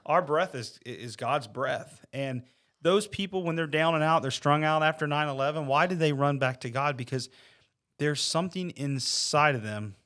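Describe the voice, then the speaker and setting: A man's voice: a little high, moderate pace, fairly bright tone. Male podcast panelist, conversational and sincere, discussing faith and checking in with listeners. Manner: earnest, checks in with "you know what I mean". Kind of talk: casual religious discussion.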